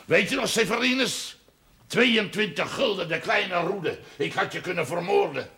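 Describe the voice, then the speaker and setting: A man's voice: high voice, moderate pace, creaky, crowd noise. An actor playing an angry, sarcastic, proud landowning farmer and father in a television period drama. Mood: bitter, sarcastic. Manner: blustering, bitterly sarcastic. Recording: faint noise, older television soundtrack.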